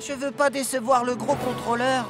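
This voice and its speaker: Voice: sits high, fairly even intonation, moderate pace, close to an everyday voice. An actor voicing a vain, eager male locomotive in a children's cartoon, boastful and dramatic. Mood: confident. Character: vain, eager red steam locomotive, male, excitable and proud. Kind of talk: animated children's cartoon dub. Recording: music behind the voice.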